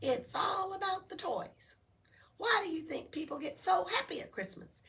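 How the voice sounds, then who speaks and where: A woman's voice: very high voice, lively intonation, normal speed, a bit bright, pitched above the performer's own voice, heavily acted. A woman voicing a gleeful, teasing little male Christmas elf in a storybook read-aloud. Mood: cheerful bragging. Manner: giggly, teasing, exclaiming. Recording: noisy room, distant microphone.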